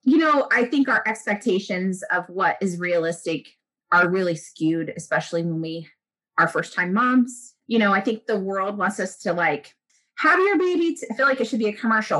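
Woman, high voice, earnest chatty guest talking frankly with plenty of "you know"s. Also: a bit bright, rough recording. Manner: earnest, chatty, lots of fillers. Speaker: guest, breastfeeding expert. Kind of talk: casual interview talk.

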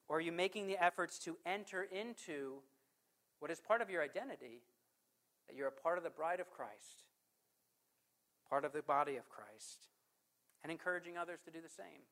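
A man preaching a sermon as pastor. He puts questions to the congregation, slows down to stress scripture, and swings from forceful to hushed. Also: high voice. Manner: emphatic preaching with rhetorical questions.

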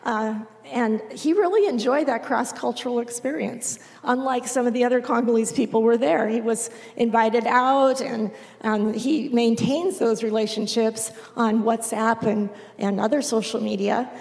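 Woman with gravelly voice in reverberant hall. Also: fairly high pitch, fairly even intonation, darkish tone. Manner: unhurried, with frequent "um" pauses. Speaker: missionary reporting to a church. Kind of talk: missionary report to a congregation. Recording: long reverb of a large hall.

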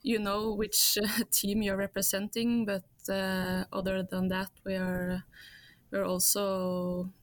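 A woman from Norway, a guest in a casual interview, answering in halting English as she searches for words. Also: medium pitch, level tone, dry recording.

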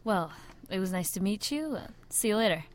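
A woman playing a brisk, friendly college student in an amateur audio drama. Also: mid-range voice, lively intonation, close to an everyday voice. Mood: amused.

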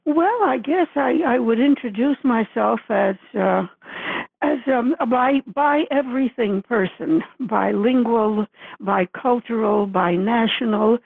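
An older woman from the Dominican Republic, a native Spanish speaker, telling stories thoughtfully with many pauses over the phone. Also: fairly high pitch, no room sound. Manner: thoughtful, measured, many uhs. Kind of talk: interview storytelling. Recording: gravelly phone line.

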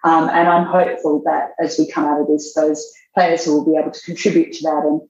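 A woman, a magazine editor answering interview questions in a measured way, thinking aloud as she goes. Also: low voice, little pitch movement, darkish tone, slight background noise. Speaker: fashion magazine editor, guest. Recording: roomy, dull lo-fi sound.

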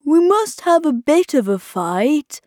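Woman playing a slow, plaintive, boastful little man. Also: sits high, sing-song, a little mellow, no echo, clean studio sound, voice pushed up, full character voice. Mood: reluctant, boastful. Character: timid, boastful, self-pitying little storybook men.

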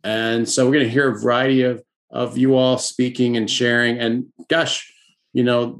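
A man's voice: medium pitch, gravelly. A man hosting a podcast, opening the show and inviting guests to speak in a loose, conversational way. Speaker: podcast host. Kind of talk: podcast hosting, intro and moderating.

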